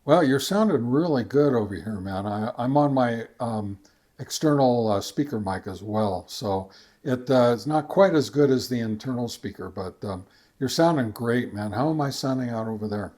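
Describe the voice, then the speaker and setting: A man hosting a casual how-to video, talking informally and somewhat haltingly as he explains each step. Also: mid-range voice, animated, a little mellow. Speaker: host of a ham radio how-to channel. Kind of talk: casual tutorial narration.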